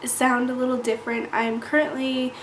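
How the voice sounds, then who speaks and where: A woman's voice: high voice, level tone, brisk. A woman vlogger sitting down to chat to camera, a little nasal from a cold, earnest and explaining things step by step. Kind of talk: casual sit-down talk to camera. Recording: echoey room with faint hiss.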